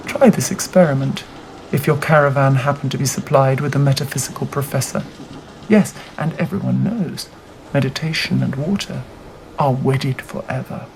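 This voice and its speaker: A narrator reading a classic novel aloud in a measured, deliberate way with dramatic phrasing. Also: mid-range voice, darkish tone, low-quality recording. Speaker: audiobook reader. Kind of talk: scripted literary narration. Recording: audible background noise.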